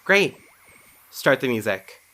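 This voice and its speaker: A woman's voice: rumbling low, big pitch swings, takes it slowly, music in the background, exaggerated voice. A woman playing a resolute hero who rallies her team in a musical audio drama.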